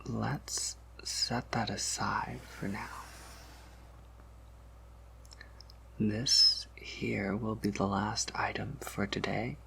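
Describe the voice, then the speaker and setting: Guy doing soft spoken vampire apothecary asmr, super slow n whispery, really crackly fry, calm explainy shopkeeper. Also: lowish voice, heavily acted. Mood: quiet, confiding. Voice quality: breathy whisper with creaky undertone. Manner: measured, gently explanatory, slightly wry. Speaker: ASMR roleplay performer.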